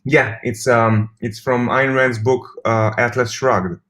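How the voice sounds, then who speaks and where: Man explaining calmly. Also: medium pitch, takes it slowly, soft background music. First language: Hebrew. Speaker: interview guest. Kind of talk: casual interview chat.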